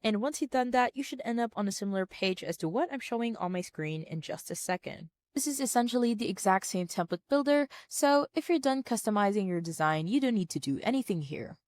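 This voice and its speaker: A woman narrating a step-by-step software tutorial in an orderly, plain-spoken, instructional way. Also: mid-range voice, lively intonation, fairly quick, hardly any pauses, no room sound. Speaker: tutorial presenter.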